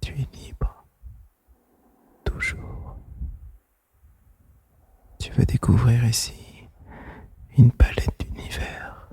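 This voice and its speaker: A man murmurs an intimate relaxation monologue in a breathy whisper. His delivery is extremely slow and gentle, with a soft, mellow tone. He stays close to the microphone, soothing, as if speaking into one listener's ear. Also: mid-range voice, level tone. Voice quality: breathy, mostly unvoiced whisper. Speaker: host of a whispered relaxation podcast. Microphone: close microphone, made for headphones.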